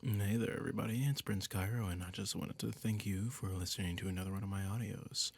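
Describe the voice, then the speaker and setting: Man, lowish husky voice, audio creator, scripted thank-you outro, dry close sound. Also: no room sound.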